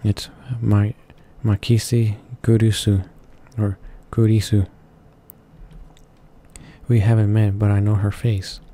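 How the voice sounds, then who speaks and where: A man's voice: on the low side, level tone, takes it slowly. A man reading along to a visual novel in a casual amateur way, stumbling over words and correcting himself. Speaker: gaming channel host reading along. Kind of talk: halting read-aloud of visual novel narration, casual asides. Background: game music playing softly.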